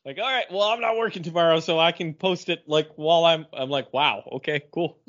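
A man's voice: fairly high pitch, a little fast, fairly bright tone. Joking man on a lo-fi podcast. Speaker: podcast co-host. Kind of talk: casual chat.

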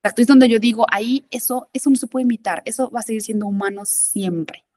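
A woman's voice: fairly high pitch, quick pace, dark tone. A woman speaking as a guest expert in a conversational interview, emphatic and often repeating words as she searches for them.

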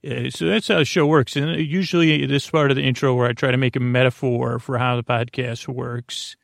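A man's voice: mid-range voice, fairly even intonation, a little fast, creaky, no echo, clean studio sound. A man hosting a bedtime podcast, rambling through a drowsy intro full of fillers and playful tangents. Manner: meandering, goes off on tangents and wordplay, many fillers. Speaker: podcast host.

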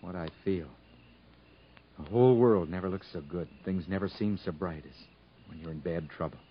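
An actor playing a stubborn but tender fugitive hiding in the woods in a 1950s radio drama. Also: male voice, sits low, normal speed, close to an everyday voice. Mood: subdued, resolute. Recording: muffled, noisy 1950s radio broadcast recording.

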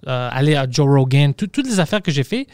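Higher-voiced man with an English-tinged French accent. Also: big pitch swings, brisk, a little mellow, no room sound. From Quebec. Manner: loose and profane, hesitant restarts. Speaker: podcast host, stand-up comedian. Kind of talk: casual podcast chat.

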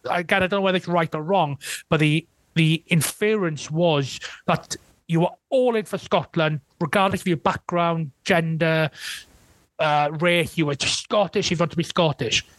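A Welsh man talking as a guest historian in a relaxed interview, thoughtful and hedging, often restarting his sentences. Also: a little high, dry recording, poor audio. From Wales. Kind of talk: casual interview conversation.

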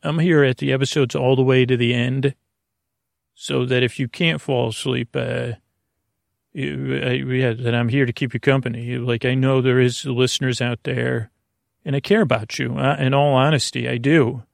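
A man hosting a sleep podcast in a casual, meandering monologue, hesitant and gently reassuring, often interrupting himself with stammers and tangents. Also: mid-range voice, steady tone, moderate pace, gravelly, dry recording, clean studio sound. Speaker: podcast host.

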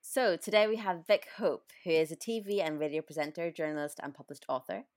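A woman from East Africa, co-hosting a podcast, chatty and quick to laugh. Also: low-pitched, steady tone, darkish tone. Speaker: co-host. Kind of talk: podcast talk.

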